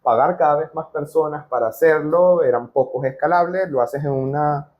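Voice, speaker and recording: A man's voice: on the high side, normal speed, lo-fi sound. A man hosting a casual interview, speaking informally and dropping in English business terms. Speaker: podcast host.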